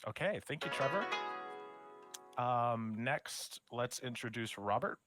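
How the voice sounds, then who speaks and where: A man's voice: medium pitch, animated, slow pace, music in the background. A man hosting a casual live stream, talking loosely with restarts and fillers. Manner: rambling, with many restarts and fillers. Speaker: host of a game studio demo stream.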